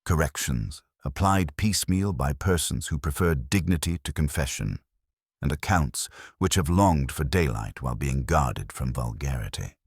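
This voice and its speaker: Very deep, courteous British inspector, read by a man. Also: expressive, husky, no echo, clean studio sound, heavily acted. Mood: dry, formal. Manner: measured, formal, understated. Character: calm, courteous, dryly authoritative male police inspector. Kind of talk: storybook character dialogue in a mystery.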